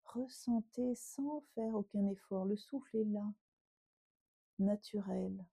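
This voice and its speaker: A woman yoga teacher leading a guided relaxation meditation in a gentle, unhurried, instructing way with pauses. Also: medium pitch, vocal fry, slightly rough, dry recording.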